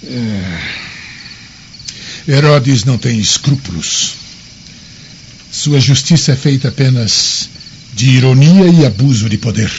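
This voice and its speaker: A male actor playing an authoritative Roman governor in a radio drama, speaking in a measured way. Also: medium pitch, lively intonation, lo-fi sound, slight background noise. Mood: measured disapproval. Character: commanding, weary Roman governor.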